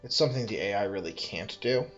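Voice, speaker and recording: A man's voice: lowish voice, quick pace, a bit bright, highs cut off, faint music behind. A man thinking aloud as he plays a strategy game, musing, correcting himself and making dry asides. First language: English. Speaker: let's play host.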